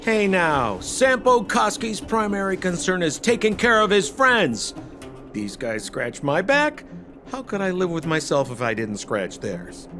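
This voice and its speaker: A man's voice: sits high, very animated, measured pace. A man playing a glib, evasive wheeler-dealer in a video game, theatrical and wheedling, with music behind. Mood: smooth-talking. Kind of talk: scripted game cutscene acting.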